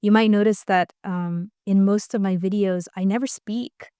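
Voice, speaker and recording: A woman's voice: medium pitch, steady tone, moderate pace, mellow, clean tone, dry recording, clean studio sound. A woman from the United States, a podcast guest speaking in a thoughtful, hesitant way with many restarts. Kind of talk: reflective interview talk.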